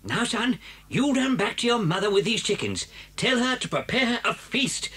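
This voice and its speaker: High-pitched, swooping clever father fox. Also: moderate pace, slight background noise, exaggerated voice. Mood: confident, brisk. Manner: animated, emphatic, theatrical. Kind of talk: dramatised children's storybook tape.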